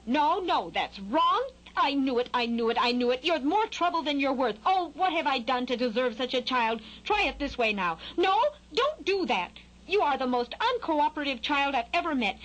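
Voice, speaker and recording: Woman as a nagging, high-voiced mother bird. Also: a bit bright, poor audio. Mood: exasperated. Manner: trilling, exasperated scolding. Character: demanding, nagging, self-pitying mother skylark.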